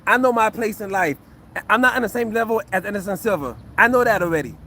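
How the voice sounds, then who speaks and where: A man's voice: high voice, fast, bright, forceful tone, slight background noise. A man in his fifties, a retired fighter, breaking down a fight casually for a live chat, repeating himself earnestly. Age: 50s. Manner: repetitive, earnest, talks to chat. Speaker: retired fighter and acupuncture physician.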